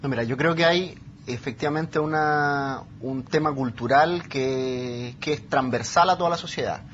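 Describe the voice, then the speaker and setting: A man's voice: mid-range voice, normal speed, fairly bright tone. A man speaking as a panelist in a discussion, colloquial and full of Chilean slang. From born outside Chile, living there about ten years. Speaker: youth panelist. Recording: dull sound with faint background noise.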